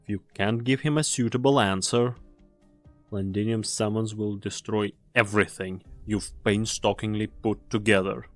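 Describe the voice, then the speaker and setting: A man playing a weary, fatalistic mercenary in an anime game fan dub, speaking gravely and deliberately. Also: on the low side, steady tone, soft background music, close to an everyday voice. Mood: stern warning.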